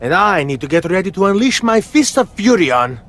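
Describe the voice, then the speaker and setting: A man's voice: sits high, big pitch swings, brisk, a bit bright, full character voice. A man playing a boastful, cowardly comic wrestler in a video game cutscene, blustering theatrically. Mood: flustered bluster.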